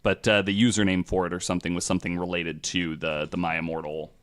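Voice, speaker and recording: A man with a fairly low voice hosts a casual podcast. He talks through stories informally, in loose conversational language with casual swearing. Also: flat delivery, fast, smooth. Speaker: podcast host. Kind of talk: casual storytelling podcast talk.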